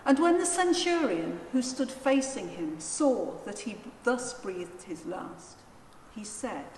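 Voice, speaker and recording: A woman narrating a Gospel in a solemn, measured and deliberate reading style. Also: fairly high pitch, animated, a little mellow. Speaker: scripture reader, narrator. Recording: echoey church hall, faint noise.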